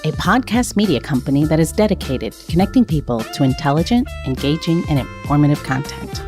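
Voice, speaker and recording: A woman's voice: sits low, fairly quick. Playful lady hosting a podcast, voice swoops up and down a lot, faint music. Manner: playful, expressive. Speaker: podcast host. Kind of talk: lively podcast banter and show announcements.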